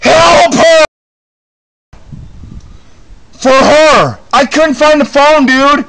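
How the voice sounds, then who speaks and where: A man in a panicked, frightened state, shouting and pleading repetitively for help with profanity. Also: very high voice, drawn-out words, creaky, low-quality recording.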